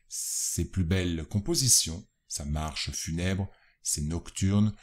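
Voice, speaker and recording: A man reading scripted educational narration in a formal, measured, literary manner. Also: fairly low pitch, a little mellow. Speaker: narrator of a spiritist study guide.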